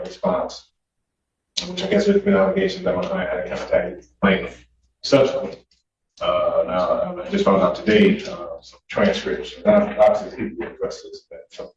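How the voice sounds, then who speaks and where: Echoey, halting male defense attorney in court. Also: medium pitch, creaky, a little mellow. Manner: halting, hesitant, deferential. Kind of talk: pleading to a judge in court. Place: courtroom, picked up from a distance. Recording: echoey, distant, muffled courtroom audio with noise.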